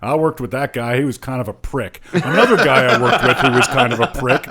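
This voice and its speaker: A man with a medium-pitched voice reviews a book as a podcast co-host. He is frank and opinionated, and keeps his delivery informal and conversational. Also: expressive, a little fast, a crowd in the background.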